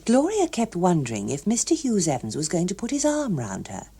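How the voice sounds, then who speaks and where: Female audiobook narrator, English accent: lowish, dark-toned voice, expressive scripted storytelling. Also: a little fast, slightly rough.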